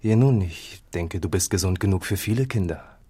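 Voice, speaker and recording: An actor playing a solemn, brooding farmer in a literary radio drama, speaking gravely and deliberately. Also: male voice, low-pitched, warm tone, a little hiss or hum, lightly acted. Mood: quiet, firm.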